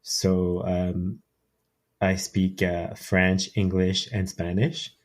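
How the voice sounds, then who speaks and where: A man in his thirties, from Peru and raised in Canada, talking as an interview guest. His voice sits very low and stays level, with little rise or fall. He speaks haltingly, often pausing on fillers and restarting his sentences. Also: completely flat delivery. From Peru, raised in Quebec, Canada. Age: 30s. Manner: hesitant, many fillers and restarts. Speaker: podcast guest. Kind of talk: casual interview storytelling.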